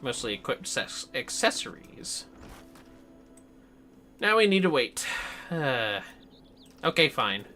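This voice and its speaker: Bright, animated game streamer, androgynous voice. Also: medium pitch, slow, long pauses, a little hiss or hum. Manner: playful, casual muttering to self, swears. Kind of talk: casual livestream gaming commentary.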